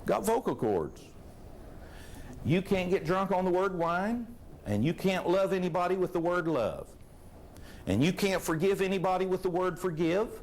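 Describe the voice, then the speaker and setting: A man preaching a sermon to a live congregation, folksy and animated, with a loud voice and frequent rhetorical questions. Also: sits high, normal speed.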